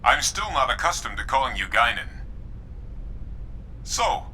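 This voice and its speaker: A man voicing a smooth, courteous political representative in a game cutscene. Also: mid-range voice, big pitch swings, a little slow, bright, forceful tone, slight background noise, heavily acted. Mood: genial, polite. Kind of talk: dramatic game cutscene dialogue.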